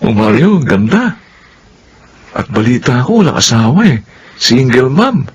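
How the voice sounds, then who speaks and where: Eager, swooping Filipino young bachelor. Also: male voice, mid-range voice, measured pace, dull audio, a little hiss or hum. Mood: nosy. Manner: excitable, cheeky. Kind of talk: Filipino radio drama acting.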